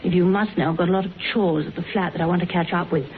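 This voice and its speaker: Tinny recording, cool British secretary, played by an actress. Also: female voice, lowish voice, fairly even intonation, very fast, natural voice. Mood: tart. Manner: clipped, dry, businesslike. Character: cool, tart, efficient female detective's secretary. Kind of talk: British radio drama acting. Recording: tinny narrowband radio recording, faint noise.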